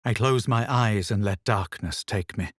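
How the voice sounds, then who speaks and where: Cocky aloof video game hero, lowish husky voice, measured and dramatic. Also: male voice, fairly bright tone. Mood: grave, narrating. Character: self-assured male adventurer hero, aloof and superior. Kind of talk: video game voice lines, scripted narration and barks.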